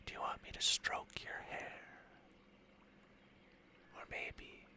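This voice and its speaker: A man playing a smug, possessive ancient dragon familiar in an intimate ASMR roleplay, teasing and doting in breathy murmurs that slip into whispers. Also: slow, bright, forceful tone. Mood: teasing, seductive. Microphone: close-up microphone with spatial panning.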